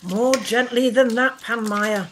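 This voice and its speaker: An actress playing a wry, careful underground weapons smuggler in a stage drama, terse and matter-of-fact. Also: female voice, a little high, fairly even intonation, slow pace, a little hiss or hum. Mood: cautious. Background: faint music.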